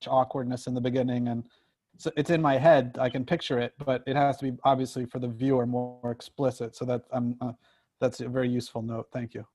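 A man's voice: medium pitch, little pitch movement, fairly quick, dark tone. A screenwriter, a man, reflecting casually and a little hesitantly as he takes notes on his work.

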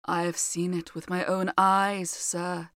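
A woman voicing a grim, mysterious old housekeeper who speaks low, slowly and in a hush. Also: level tone, dry recording, clean studio sound. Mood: ominous. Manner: low, slow, hushed.

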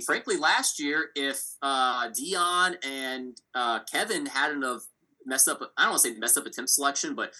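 A man hosting a casual sports podcast, talking through competitors with a steady, analytical manner and frequent fillers. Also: mid-range voice, rough recording. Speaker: podcast host.

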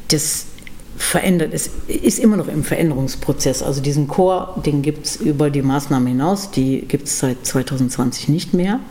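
A middle-aged woman musician being interviewed, talking thoughtfully with many pauses and fillers. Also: low-pitched, dark tone. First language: German. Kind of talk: casual interview conversation.

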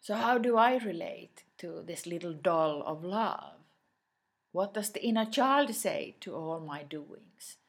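A woman whose native language is Swedish, giving an unscripted inspirational talk straight to the listener in a reflective way, often pausing mid-phrase. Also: on the low side, expressive, husky. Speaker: inspirational speaker.

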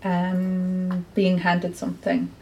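A woman's voice: lowish voice, completely flat delivery, takes it slowly, a little mellow, dull audio. An Irish woman from Clare, a poet, answering earnestly at a live bookshop interview. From County Clare, Ireland. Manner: earnest, reflective, with many fillers. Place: bookshop event.

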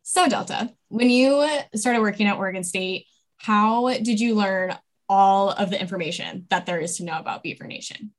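Woman podcast host, upbeat casual delivery, mid pitch. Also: normal speed. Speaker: podcast host, admissions advisor.

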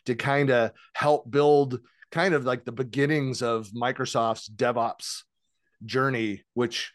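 A man's voice: medium pitch, fairly even intonation, slow, no echo, studio quality. A man hosting an interview podcast, talking casually and rambling a little. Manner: rambling, many fillers and restarts. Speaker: podcast host.

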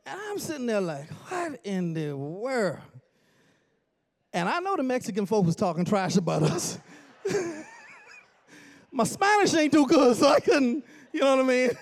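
A man in his forties from the Bahamas preaches in a church, swinging from chatty asides to sudden shouts as he tells a story. His voice sits high for a man, and he jokes easily with the congregation. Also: very animated, measured pace, pauses often. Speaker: pastor. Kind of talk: storytelling sermon. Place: church sanctuary.

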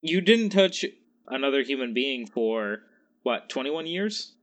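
A man's voice: medium pitch, very animated, measured pace, fairly bright tone. A man in his twenties co-hosting a casual podcast, rambling and joking with frequent laughs. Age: 20s. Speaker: podcast co-host. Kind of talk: casual chat.

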